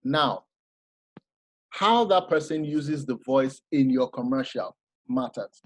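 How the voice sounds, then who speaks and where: A man giving an informal masterclass talk, animated and explanatory, often restarting his sentences. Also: mid-range voice, slow pace. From Nigeria. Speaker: masterclass speaker.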